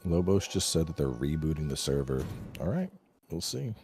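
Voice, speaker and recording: A man's voice: very deep voice, sing-song, normal speed, mellow, slight background noise. A man in his thirties chatting casually as a gaming streamer, blunt and sweary, given to ribbing. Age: 30s. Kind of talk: casual chat, banter.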